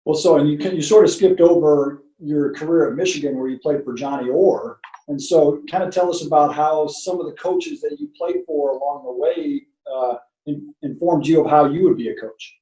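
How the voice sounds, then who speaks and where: A man's voice: a little high, moderate pace, warm tone. A middle-aged American man hosting a casual sports interview in a conversational way. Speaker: host, sports broadcaster. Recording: echoey, distant from the mic.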